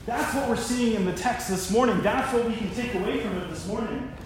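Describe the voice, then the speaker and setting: A man's voice: high-pitched, a little fast. A man in his thirties preaching a sermon as a pastor. He speaks earnestly and often repeats words as he works through his thoughts. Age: 30s. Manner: earnest, repeats words while thinking aloud. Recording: very echoey room, faint noise.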